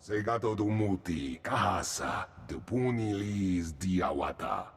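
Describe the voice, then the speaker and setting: An alien creature for a game, speaking an invented tongue. The voice is extremely rough and husky, pitched very low. A long echo trails it. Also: male voice, expressive, unhurried, full character voice. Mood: solemn. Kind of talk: video game voice acting.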